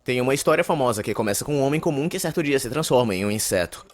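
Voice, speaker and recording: A man's voice: mid-range voice, lively intonation, racing, natural voice. A man with a Brazilian accent playing a verbose, self-important, reclusive young university student narrating an anime monologue. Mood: matter-of-fact, wry. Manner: pompous, wordy, formal.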